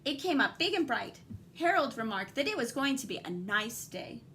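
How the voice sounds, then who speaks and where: A woman reading a picture book aloud like a teacher, animated and dramatic, with guiding asides to young listeners. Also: fairly high pitch, moderate pace, slight background noise.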